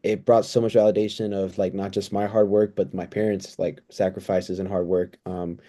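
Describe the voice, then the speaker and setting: A man in his twenties giving earnest, thoughtful, somewhat halting answers in a casual interview. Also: low voice, level tone, dark tone. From United States, born in El Salvador. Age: 20s. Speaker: interview guest, pre-physical therapy student.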